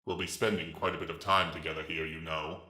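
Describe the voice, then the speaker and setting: A huge reptilian villain, speaks with mocking courtesy and grand self-importance in a sci-fi audio drama. The voice is very low, with a forceful edge, in an echoing recording. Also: male voice, little pitch movement, a little fast. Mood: sardonic, resigned. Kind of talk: dramatic reading of a sci-fi story. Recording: echoing, reverberant voice track.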